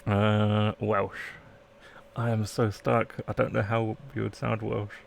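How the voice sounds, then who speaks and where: A man's voice: on the low side, flat delivery, slow, gravelly, a little hiss or hum. A man live-streaming a let's play, reading game text aloud and chatting in playful asides. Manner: playful asides, trying out accents.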